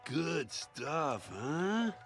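Slow, gravelly hippie van. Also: male voice, a little high, very animated, over music, heavily acted. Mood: mellow, spacey. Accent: American. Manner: laid-back, drawn-out. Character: mellow, spacey hippie camper van, male.

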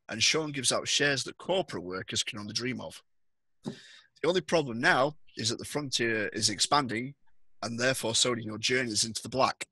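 A man's voice: medium pitch, sharp tone, slightly rough, dry recording. A young man narrating a tabletop roleplaying scenario as the game master, on an indoor call. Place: indoor room on a video call.

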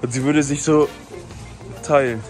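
A man's voice: mid-range voice, fairly even intonation, measured pace. A young man chatting casually and colloquially to a camera. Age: young adult. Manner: slangy, colloquial. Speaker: vlog participant. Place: supermarket and outside it. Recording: music running under the voice.

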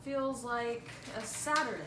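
A woman's voice: fairly high pitch, measured pace. A young woman doing an amateur dramatic reading of a script as a daughter, in a room at home. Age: young adult. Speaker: student scriptwriter and amateur reader. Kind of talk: dramatic script read-through, playing a daughter. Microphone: camera a few feet away. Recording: distant echoey room mic, clear noise.

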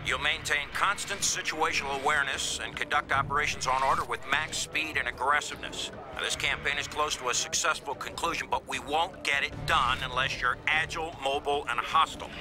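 An actor playing a tough, no-nonsense field commander rallying troops bluntly in a video game military briefing. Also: male voice, a little high, fairly even intonation, brisk, hardly any pauses, natural voice. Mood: tough, resolute. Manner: blunt, folksy, forceful wording. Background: music.

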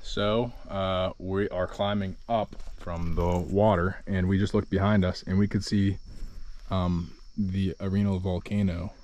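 A man's voice: low voice, level tone, measured pace, darkish tone. A man in his twenties chatting to an action camera while hiking a rainforest trail. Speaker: travel vlogger. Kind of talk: casual hiking vlog. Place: outdoors on a rainforest volcano trail. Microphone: action camera held at arm's length. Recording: faint outdoor noise.

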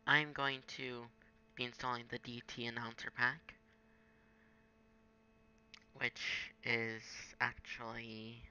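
A man's voice: mid-range voice, level tone, slow pace, pauses often, sharp tone, clean tone, low-quality recording. A young man giving a casual, improvised screen-recorded tutorial in an offhand way. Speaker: gaming tutorial maker. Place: indoors at a home computer.

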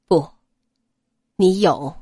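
A woman voicing a gentle, earnest young male prince in an audiobook, speaking softly and steadily in a lowered voice. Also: very slow, breathy edge, no echo, clean studio sound, heavily acted. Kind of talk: audiobook character voice.

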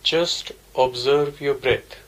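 Slow-paced man guiding a meditation. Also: mid-range voice, steady tone. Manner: measured, simple repetitive phrasing. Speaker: meditation teacher. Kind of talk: guided meditation instruction.

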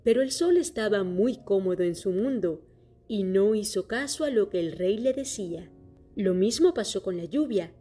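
Woman storyteller, mellow tone, children's story read aloud, soft music underneath. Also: mid-range voice, lively intonation, normal speed. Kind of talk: scripted children's story narration.

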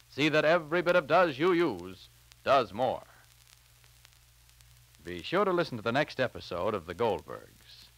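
A man's voice: medium pitch, a bit bright, no echo, low-quality recording. An American man announcing on 1940s radio, with a polished, emphatic sales delivery, recorded on a transcription disc.

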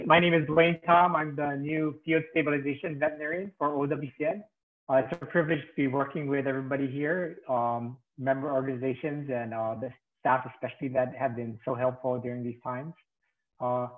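A middle-aged man from Hawaii, a field veterinarian presenting over a video call, hesitant with many fillers. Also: mid-range voice. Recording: tinny phone-like call audio.

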